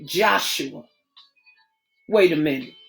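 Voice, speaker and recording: Lady doing an eager scheming gal, goes high and pleady, stresses every word. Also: animated, slow pace, creaky, a bit bright, soft background music, full character voice. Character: eager young woman friend, scheming.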